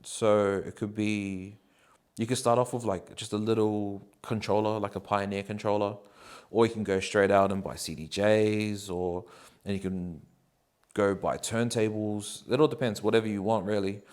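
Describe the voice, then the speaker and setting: A man, answering interview questions in a relaxed, rambling way with many ums and likes. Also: lowish voice, normal speed. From New Zealand. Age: 30s.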